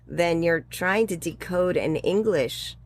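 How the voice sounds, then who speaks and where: A woman's voice: on the low side, animated, slow pace. A woman in her sixties, a guest educator talking through her thoughts in an interview with frequent ums and little laughs. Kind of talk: conversational interview.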